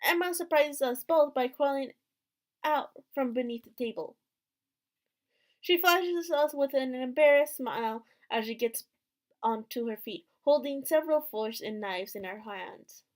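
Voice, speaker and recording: A woman's voice: high voice, measured pace. A woman hosting a gaming video, reading a visual novel's narration aloud expressively.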